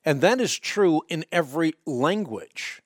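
Emphatic radio host, dry studio sound. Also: male voice, fairly high pitch, animated, no room sound. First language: Dutch. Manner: fluent, emphatic teacherly explanations with quick repeated restarts. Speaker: radio talk show host. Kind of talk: call-in radio Q&A, answering callers.

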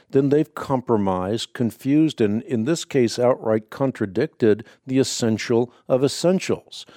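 A man hosting a radio call-in show, native Dutch speaker, teaching in a measured, emphatic, preacherly way. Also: on the low side, mellow, no echo, clean studio sound. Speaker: radio host answering callers.

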